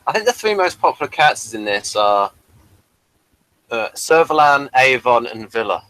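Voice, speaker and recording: A man's voice: medium pitch, animated, moderate pace, sharp tone, dry recording, rough recording. A man giving casual, explanatory commentary on a TV episode, sharing background details as he goes. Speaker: host of a TV episode review commentary.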